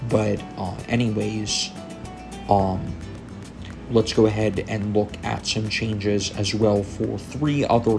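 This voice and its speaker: Slow, gravelly man talking over game music. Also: fairly low pitch. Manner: hesitant, frequent ums. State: tired, coming down with a cold. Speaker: gaming YouTuber. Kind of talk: gameplay commentary on patch notes.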